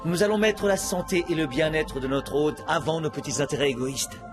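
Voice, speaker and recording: An actor playing a cocky, excitable young cat in a cartoon, bossy, emphatic and persuasive. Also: male voice, fairly high pitch, fairly quick, music in the background, natural voice. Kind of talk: animated cartoon dub.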